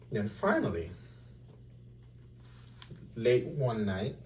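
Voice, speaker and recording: A man reading a children's story aloud in an expressive, deliberate, theatrical style. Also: fairly low pitch, recorded from a distance, a little hiss or hum. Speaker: storybook reader.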